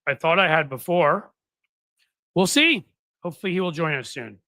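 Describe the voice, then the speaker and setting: Bright-voiced man hosting a rambling livestream. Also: fairly high pitch, animated, normal speed, dry recording, clean studio sound. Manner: rambling, with frequent restarts and self-corrections; earnest and persuasive, asking rhetorical questions. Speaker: livestream show host. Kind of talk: casual livestream talk to viewers.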